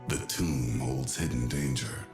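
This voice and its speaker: Extremely deep tomb guardian. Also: male voice, darkish tone, roomy sound, soft background music. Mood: ominous warning. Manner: grave, slow warnings. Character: ominous, stern male guardian of an ancient tomb. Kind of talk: video game character voice lines.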